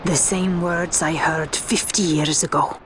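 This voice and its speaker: Cold female forest elder, low voice, very slow, oracular, fantasy game, music behind. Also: steady tone, background noise. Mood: solemn, cold. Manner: measured, formal, oracular. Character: stern, solemn female forest-dweller elder, cold and mystical. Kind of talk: scripted fantasy game acting.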